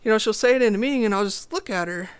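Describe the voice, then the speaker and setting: A young woman with a low voice, talking as a podcast guest in a direct, confident, casual way. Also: rapid-fire, clean tone. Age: young adult. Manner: confident, direct, animated storytelling. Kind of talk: casual interview chat.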